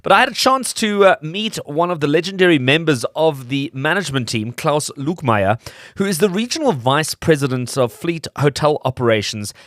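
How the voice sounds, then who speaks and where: A man's voice: a little high, expressive, normal speed, a bit bright, no echo, clean studio sound. A man hosting a radio show, narrating from a script to his listeners. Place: dry studio.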